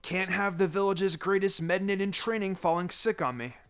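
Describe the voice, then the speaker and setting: A man's voice: sits high, fairly even intonation, moderate pace, fairly bright tone, clear, resonant tone. A brash, good-hearted teenage ninja boy, read by a man in a story narration. He speaks bluntly and informally. Music plays behind the voice. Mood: friendly. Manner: blunt, casual. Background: background music.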